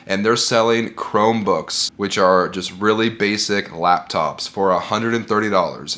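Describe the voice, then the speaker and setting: A man's voice: on the low side, a bit bright. A man who is sick and congested, giving earnest, encouraging business advice straight to camera and repeating his key points. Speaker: bookkeeping business owner and video host.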